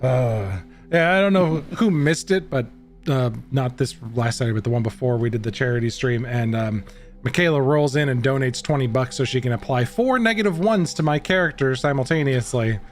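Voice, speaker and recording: A man's voice: mid-range voice, a little fast, soft background music. A man running a tabletop game stream, chatting casually and rambling with laughs. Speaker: game master. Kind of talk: casual livestream chat.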